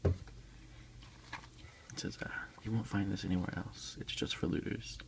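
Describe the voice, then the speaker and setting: A man speaking in a soft, unhurried, hushed whisper close to the microphone, as an ASMR creator reading aloud and commenting for an unboxing. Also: low voice, fairly even intonation, gravelly, noisy background.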